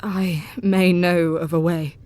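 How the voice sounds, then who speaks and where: An actress playing a haunted young wife in an audio drama, who speaks in a halting, trembling way. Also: female voice, lowish voice, level tone, darkish tone. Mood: determined.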